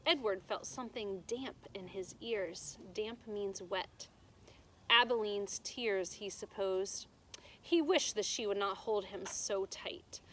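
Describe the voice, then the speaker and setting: A woman's voice: mid-range voice, slow, fairly bright tone, poor audio. A woman teacher reading a storybook aloud to children, with emphatic delivery and simple explanations. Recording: noticeable background noise.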